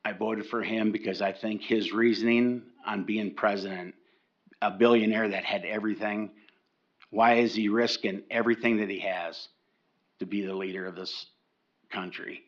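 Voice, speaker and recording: An older man giving a public comment at a county meeting, halting and often repeating words as he restarts his sentences. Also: fairly low pitch, steady tone, highs cut off. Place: county commission meeting room.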